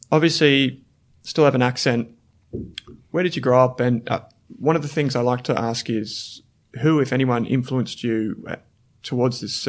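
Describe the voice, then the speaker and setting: A man hosting an interview, asking longer questions between brief murmured acknowledgements. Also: medium pitch. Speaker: interview host.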